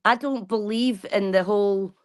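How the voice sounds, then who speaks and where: A woman's voice: mid-range voice, fairly even intonation, moderate pace. A woman, talking bluntly and casually to her viewers and swearing freely. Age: 40s. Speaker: vlogger. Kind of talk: casual chat.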